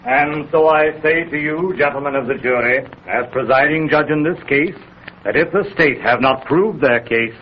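An actor playing a solemn male presiding judge, formal and measured, in 1930s radio style. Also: on the high side, lightly acted. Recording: muffled, noisy 1930s radio recording.

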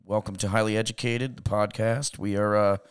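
A man's voice: lowish voice, flat delivery, brisk, smooth. A man from Long Island, New York, hosting a podcast in casual, slangy, laid-back banter. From Long Island, New York, United States. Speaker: podcast host.

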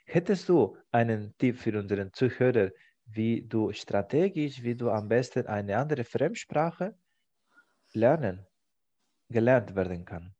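A man hosting a language-learning podcast, speaking as a learner. He works through a mock exam talk, halting and searching for words. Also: medium pitch, expressive, dark tone, muffled recording. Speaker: podcast host.

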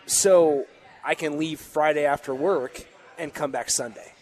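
A young man co-hosts a sports talk show, his voice slightly high. He talks casually and chattily, often stumbling and restarting. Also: measured pace, darkish tone. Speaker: radio co-host. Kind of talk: casual sports radio chat. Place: bar and lounge.

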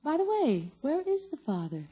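An actress playing a calm, reassuring nurse in a 1950s radio comedy. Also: female voice, sits high, normal speed. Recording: dull, muffled old radio recording.

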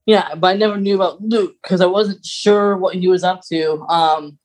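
A man with a fairly high-pitched voice co-hosts a podcast. He talks casually, like a fan explaining fine details, and often halts as he works through them. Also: steady tone, normal speed. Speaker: podcast co-host.

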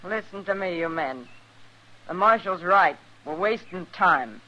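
Stern American frontier farm wife, radio western: woman of few words, deliberate, unyielding; dull vintage sound. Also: on the low side, animated, pushed and bright. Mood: controlled, pointed. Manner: terse, deliberate. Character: grim, resolute frontier farm wife and mother. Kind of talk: old-time radio western acting.

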